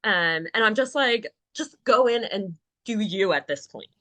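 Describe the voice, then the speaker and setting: A woman's voice: medium pitch, animated, measured pace, sharp tone, no room sound. A young adult woman, a podcast guest, telling stories in a chatty, candid way. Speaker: guest, Paralympian and actor. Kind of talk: casual interview chat.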